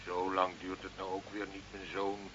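A man's voice: deep voice, monotone, normal speed, close to an everyday voice. A man playing a fatherly village priest in a radio play, pious and mildly scolding as he keeps the peace. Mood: gently pious. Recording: dull, noisy old radio recording.

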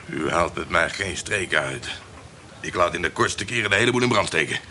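An actor playing a gruff, domineering army captain who gives curt commands in a Dutch historical radio play. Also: male voice, sits low, expressive, fairly quick, bright, forceful tone, slight background noise, exaggerated voice. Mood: stern, suspicious. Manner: curt, commanding, barking orders.

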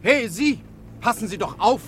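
An actor playing an indignant passer-by in an old radio crime play, scolding and insistent. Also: male voice, sits high, lively intonation, normal speed, a bit bright, slight background noise. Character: indignant, officious male passer-by. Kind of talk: 1950s German radio crime play acting.

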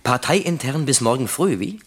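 Radio play: young male colleague, ironic and needling, husky, very animated delivery. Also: medium pitch, moderate pace. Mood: mocking. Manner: ironic, teasing, mock-solemn. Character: Hopser, cheeky young needling office colleague. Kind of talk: German radio play acting.

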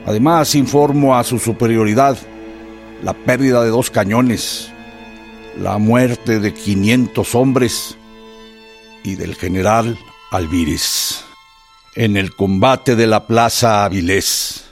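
An actor playing a defeated middle-aged Mexican army general, reading a formal military report in a solemn, measured way for a radio drama. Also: male voice, lowish voice, faint music behind. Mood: grave, formal.

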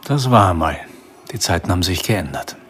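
A world-weary private investigator in a radio crime drama. His voice is low and composed, and he speaks in a terse, understated way. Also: lively intonation, fairly quick, faint music behind. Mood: laconic. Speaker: actor in a radio crime play.

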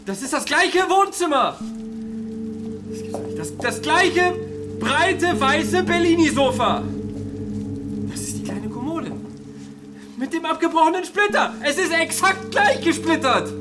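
An actor playing a neurotic, status-proud young man who is easily rattled, speaking haltingly and repeating himself in a radio play. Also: extremely high pitch, animated, over music. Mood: outraged disbelief.

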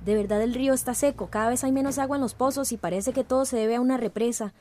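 Radio drama: actress as young village activist, earnest and emphatic, fairly high voice, Central American accent, faint background noise. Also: female voice, quick pace, mellow, lightly acted. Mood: worried. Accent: Central American Spanish.

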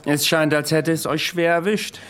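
Man as world-weary immortal soldier, higher voice. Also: steady tone, moderate pace, fairly bright tone, natural voice. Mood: apologetic. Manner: dry, courteous, archaic diction. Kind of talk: radio drama acting. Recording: music and watery ambience behind voice.

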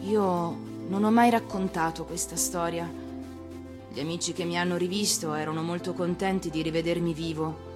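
A woman reading a story in the first person as an actress would, emotive and hesitant, pausing between short phrases. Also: fairly low pitch, fairly even intonation. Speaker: actress reading a fairy tale. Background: music.

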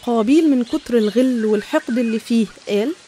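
An Egyptian woman narrates religious stories in a soft-edged, dark timbre. Her pace is brisk and her pitch on the high side, with faint noise behind her. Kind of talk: scripted religious storytelling narration.

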